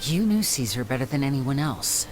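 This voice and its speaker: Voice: medium pitch, very animated, moderate pace, slight background noise, close to an everyday voice. A voice actor playing a charming young male stage magician in a video game, speaking politely and persuasively. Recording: game audio with music.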